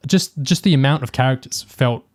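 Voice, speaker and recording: Man, podcast co-host, mid-pitched voice, very dry sound, casual analytical discussion, hesitant thinking aloud. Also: a little fast, darkish tone, no echo.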